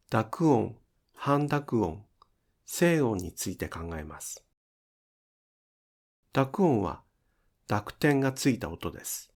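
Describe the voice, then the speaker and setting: A man's voice: lowish voice, gravelly. A man giving scripted instructional narration as a pronunciation teacher, enunciating carefully step by step.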